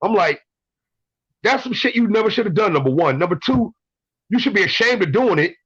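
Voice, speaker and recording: Man, twenties, caller, blunt storytelling, stumbles over words, higher voice, dry dull audio. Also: expressive, fairly quick, fairly bright tone, breathy edge, no echo. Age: 20s. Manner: blunt, swears, repeats words while telling stories. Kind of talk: casual call-in story.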